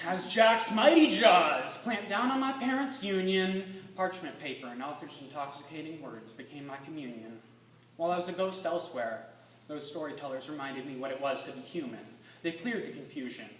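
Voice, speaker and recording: A teenage boy reciting slam poetry dramatically, intense and emphatic, moving between hushed lines and forceful ones. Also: a little high. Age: teens. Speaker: student slam poet. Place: live stage in a room with an audience. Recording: echoey room, distant mic, faint noise.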